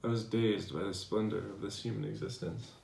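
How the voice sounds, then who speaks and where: A man playing a devoted philosopher reading his tender love letters aloud in a measured, earnest dramatization. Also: lowish voice, flat delivery, creaky, a little mellow. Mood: tender, adoring. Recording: echoey room, distant mic, faint noise.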